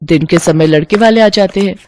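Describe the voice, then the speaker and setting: A woman narrating a scripted family story in an expressive storytelling style. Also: fairly low pitch, brisk, a little hiss or hum.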